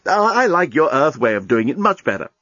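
A man's voice: on the high side, big pitch swings, a little fast, fairly bright tone, no room sound, highs cut off, voice pushed up. A man voicing an amorous, single-minded male alien journalist in a comic audiobook, gushing and pleading. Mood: cheerful, chatty. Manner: gushing, wheedling.

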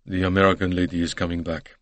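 A man voicing a harassed, excitable French-speaking train conductor in an audiobook, deferential and agitated. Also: low-pitched, quick pace, no echo, lightly acted.

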